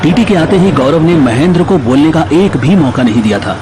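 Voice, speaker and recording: A man's voice: fairly high pitch, lively intonation, fairly quick, background noise. A man narrating a scripted audio drama in a dramatic storytelling style. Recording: clear music bed behind the narration.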